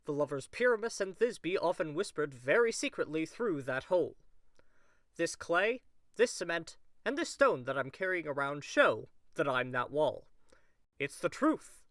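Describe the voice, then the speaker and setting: A man's voice: a little high, big pitch swings, a little slow, bright, forceful tone, dry recording, studio quality, exaggerated voice. A man playing an eager amateur actor who earnestly explains his part in a theatrical audio drama.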